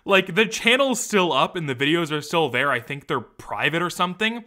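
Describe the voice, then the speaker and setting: A man's voice: on the high side, sing-song, moderate pace, sharp tone. A man delivering scripted, sarcastic video commentary in a wry, irreverent way, as a YouTube commentator.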